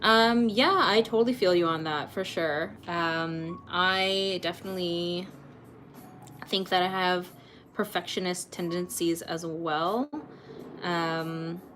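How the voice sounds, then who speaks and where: Woman in her late twenties, casual livestream chat, from Canada, lowish voice, faint background hum. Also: steady tone, slow, fairly bright tone. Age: late 20s. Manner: chatty, rambling, laughs often, many ums. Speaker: art livestream host.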